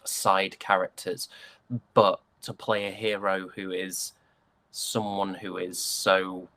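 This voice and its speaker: A man's voice: fairly low pitch, a bit bright. A British man talking casually as an interview guest, thoughtful and enthusiastic about his craft. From United Kingdom. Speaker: interview guest, stage and voice actor.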